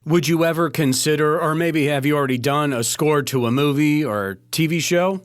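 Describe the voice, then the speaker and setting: A man's voice: on the high side, moderate pace, clear, resonant tone, dry recording, clean studio sound. A man hosting a podcast and asking his guest direct questions. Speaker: podcast host. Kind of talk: interview questions.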